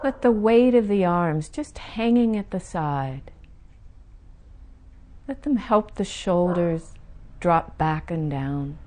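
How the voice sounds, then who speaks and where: Slow, low-voiced woman guiding meditation. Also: animated. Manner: soothing, gently instructing with unhurried pauses. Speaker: meditation teacher guiding a session. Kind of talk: guided meditation.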